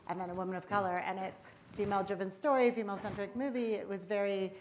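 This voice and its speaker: A woman's voice: mid-range voice, fast. A North American woman, a filmmaker, talking conversationally on a panel. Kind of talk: casual panel talk. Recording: live panel with clear background noise.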